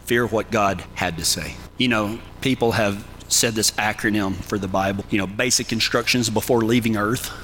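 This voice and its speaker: A man's voice: fairly low pitch, steady tone, normal speed, hardly any pauses, fairly bright tone, a little hiss or hum, rough recording. A man with a Texan accent preaching a folksy sermon as a cowboy preacher, talking to listeners plainly and with humor. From Texas. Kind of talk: sermon with numbered tips.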